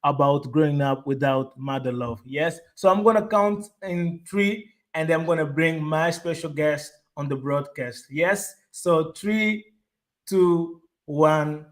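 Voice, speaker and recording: High-voiced host with halting, excitable delivery. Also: male voice. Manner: enthusiastic, repeats words, halting restarts. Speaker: host of a live online show. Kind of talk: casual interview.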